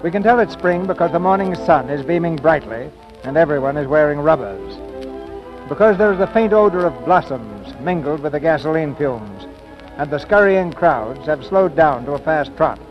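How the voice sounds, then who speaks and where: A man's voice: fairly high pitch, animated, normal speed. Male radio narrator, theatrical forties announcer style, scripted, muffled recording over music. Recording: dull old radio recording. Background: clear background music.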